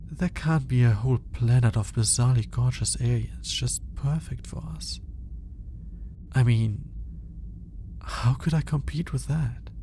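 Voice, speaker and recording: A man performs a lovelorn male alien scientist in an intimate audio roleplay. He is halting and awkward, speaking slowly in a soft-edged, dark tone with a creaky fry. He sounds like a lonely obsessive puzzling things out to himself. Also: medium pitch, a little hiss or hum. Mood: doubtful, frustrated. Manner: hesitant, halting, musing aloud with sighs.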